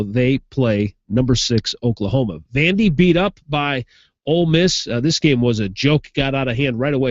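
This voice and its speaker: A man's voice: medium pitch, dry recording. Brief: stuffed-up male sports host, conversational football recap, dry close sound, slightly dull audio. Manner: conversational, gets animated when amused. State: stuffed up from a sinus infection. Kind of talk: casual football recap commentary.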